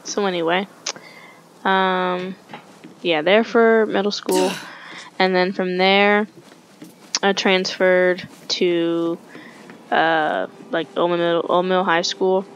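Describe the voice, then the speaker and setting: A woman's voice: on the low side, flat delivery, slow pace, long pauses, highs cut off, slight background noise. Rambling young woman gamer, swearing. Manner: rambling, swears, self-correcting. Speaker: gaming streamer. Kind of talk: casual storytelling over gameplay. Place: home room, webcam. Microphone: headset.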